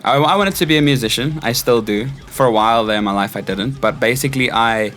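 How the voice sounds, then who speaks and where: A South African man in his twenties with a mid-pitched voice shares his stories in an interview. He speaks informally, in a relaxed conversational style, as a stage crew technician would among friends. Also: quick pace, slight background noise. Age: 20s. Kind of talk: casual chat, personal anecdotes.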